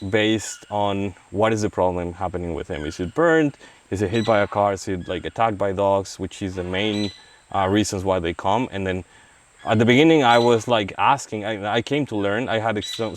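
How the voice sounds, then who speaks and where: A man from Mexico, talking through an interview about wildlife work in a halting way, with many restarts and fillers. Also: on the low side. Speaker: wildlife veterinarian. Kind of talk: casual interview. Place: outdoors in a rainforest release site. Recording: faint outdoor noise, rain. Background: faint rain and forest noise.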